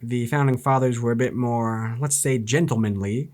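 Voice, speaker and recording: A man's voice: medium pitch, fairly even intonation, normal speed, darkish tone. A man from New Jersey reading an old political speech aloud and breaking into sarcastic, profane commentary. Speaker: YouTube history commentator.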